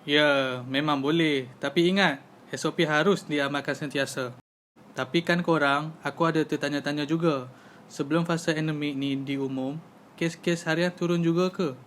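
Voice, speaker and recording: A man's voice: a little high, steady tone, normal speed, fairly bright tone, slight background noise, close to an everyday voice. A young man with a Malaysian accent playing a helpful housemate who explains things instructively in an amateur animation. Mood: friendly.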